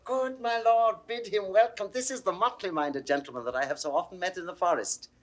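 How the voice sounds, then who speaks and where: A British actor playing a worldly, amused gentleman in a Shakespeare audio drama, with an arch, theatrical drawl. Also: high-pitched, a little fast. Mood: amused, intrigued. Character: worldly, sardonic gentleman observer, amused by fools.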